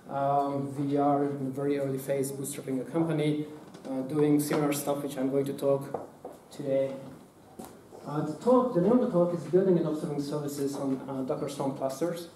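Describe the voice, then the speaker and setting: A man walks a conference audience through a hands-on workshop. His voice is somewhat high and mellow, and his delivery stops and restarts around fillers. He is heard from some distance in an echoey room. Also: slight background noise. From Hungary. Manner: halting, many fillers and restarts. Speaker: workshop presenter. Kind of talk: conference talk.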